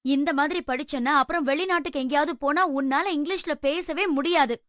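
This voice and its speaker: A woman's voice: sits high, fairly quick, a bit bright, dry recording, clean studio sound. A woman playing a strict, nagging mother in a dubbed cartoon. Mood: stern. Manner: scolding, instructive.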